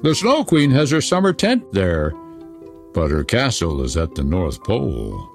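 A man's voice: sits low, huge pitch swings, measured pace, creaky, faint music behind, heavily acted. A man voicing a proud male reindeer who speaks eagerly about his snowy home.

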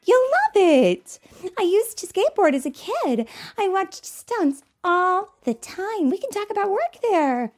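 A woman reading aloud and voicing an excitable young female police detective, eager and gushing. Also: high-pitched, measured pace, hardly any pauses, pitched above the performer's own voice, heavily acted. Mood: excited.

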